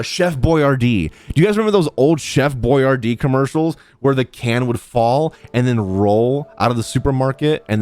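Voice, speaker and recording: A young man giving casual, slangy live commentary as he reacts to a game he is streaming, close on the mic. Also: mid-range voice. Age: young adult. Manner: slangy, chatty. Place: small indoor streaming room. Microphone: desk microphone close to mouth.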